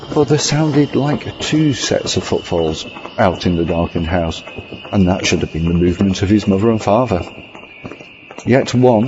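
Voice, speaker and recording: A man reading a scripted horror story as narrator, in an ornate, measured storytelling manner. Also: on the low side, background noise. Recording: slightly dull audio over music. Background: music.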